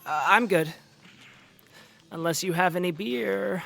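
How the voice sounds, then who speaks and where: A man playing a nervous, bright seventeen-year-old boy in an audio drama, speaking hesitantly and politely. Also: high-pitched, a little slow, creaky, a little hiss or hum, exaggerated voice. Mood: polite, curious. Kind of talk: naturalistic audio drama acting. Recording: music behind the voice.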